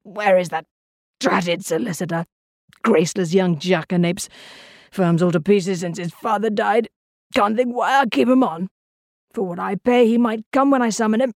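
A woman voicing an irascible, suspicious old man in an audiobook, high and scratchy, barking out orders and complaints. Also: normal speed, no echo, studio quality, close to an everyday voice. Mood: irritable grumbling. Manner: cantankerous, exclaiming, barking orders.

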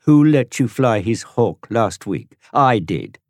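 A man's voice: mid-range voice, sing-song, moderate pace, darkish tone, no echo, studio quality, voice pushed up. A man doing a bossy teenage boy. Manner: commanding, lordly. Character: bossy teenage boy, eldest brother, lordly.

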